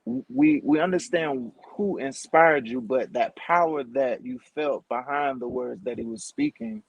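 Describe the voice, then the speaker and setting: A man's voice: mid-range voice, unhurried, slightly rough, dry recording, low-quality recording. A man co-hosting a podcast in casual interview chat, emphatically rephrasing things for listeners. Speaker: podcast co-host.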